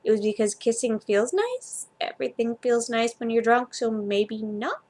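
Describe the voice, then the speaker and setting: A gentle, apologetic young man, groggy from a hangover as a woman reader puts him on. He speaks as a character in a read-aloud story, soft in temper and full of regret. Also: fairly high pitch, lively intonation, moderate pace. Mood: gently unsure.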